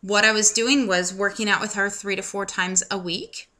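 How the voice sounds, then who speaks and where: Bright-voiced chatty woman in her thirties. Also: medium pitch, fairly even intonation, normal speed. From United States. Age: 30s. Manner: chatty, self-deprecating humor, many ums. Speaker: vlogger. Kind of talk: casual personal vlog.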